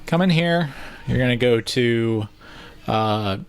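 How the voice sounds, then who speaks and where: A man's voice: medium pitch, animated, slow pace, smooth. A man talking casually to viewers in a vlog, giving tips and walking through plans in an informal, rambling way, as if thinking aloud. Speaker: vlogger, video editor. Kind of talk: casual vlog talk and tutorial.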